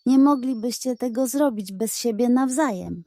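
A woman voicing a calm, thoughtful animal pirate captain in a children's story. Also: a little high, dry recording, studio quality, close to an everyday voice. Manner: measured, thoughtful.

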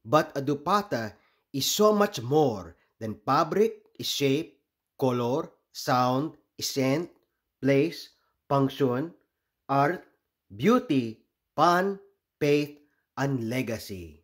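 A man from the Philippines reading a children's picture book aloud expressively, pausing deliberately between short phrases. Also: mid-range voice, expressive. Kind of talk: expressive storybook read-aloud.

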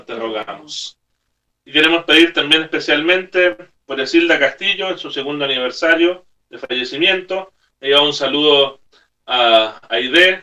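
A middle-aged man, a priest, giving a homily and prayers at Mass in earnest phrases broken by pauses. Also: medium pitch, bright, forceful tone, distant mic. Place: small room at home, indoors. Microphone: camera a few metres away.

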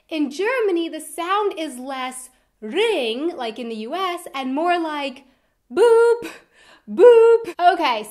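Animated high-pitched young American woman. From United States. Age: young adult. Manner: animated, expressive, emphatic. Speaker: video host. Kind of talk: scripted vlog presentation to camera. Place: indoor room with decorated wall backdrop.

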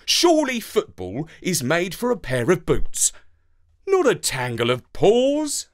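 Animated British man telling a story. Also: sits high. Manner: theatrical, deliberate. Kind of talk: expressive children's story narration.

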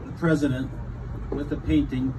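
A man's voice: mid-range voice, flat delivery, measured pace. A man presenting a gift at an outdoor event, speaking hesitantly with many fillers. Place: outdoor rooftop deck. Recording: distant outdoor recording with loud noise.

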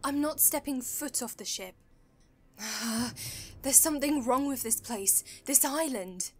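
A woman's voice: high-pitched, takes it slowly, darkish tone, a little hiss or hum. A woman playing a frightened, superstitious crew member in a fantasy audio drama, insistent and dramatic. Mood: fearful, urgent.

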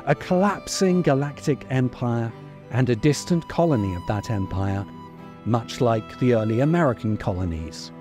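Middle-aged man narrating with animated pitch. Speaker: video essayist and host. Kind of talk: scripted narration, long-form commentary. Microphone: desk microphone close in front. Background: background music.

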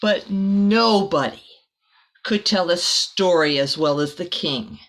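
A woman's voice: fairly low pitch, takes it slowly. A middle-aged woman reads a children's picture book aloud expressively, with casual asides to young listeners, in a small room.

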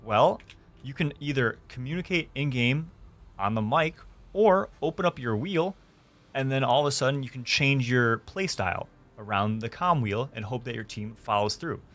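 A young man hosting a gaming tutorial, explaining things clearly step by step from his streaming room. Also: mid-range voice, expressive, normal speed, fairly bright tone. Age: young adult. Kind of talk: tutorial narration. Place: small indoor room streaming setup.

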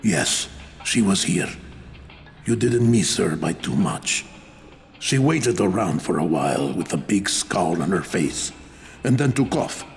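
A man's voice: low-pitched, lively intonation, unhurried, vocal fry, a little hiss or hum, heavily acted. An actor playing a guarded young male survivor in a video game, talking in a dry, matter-of-fact manner.

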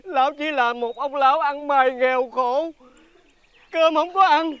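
A man's voice: extremely high pitch, a little slow, lo-fi sound. A man playing a poor, pitiful old beggar in a folk-tale drama, pleading plaintively. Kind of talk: theatrical folk-tale TV acting.

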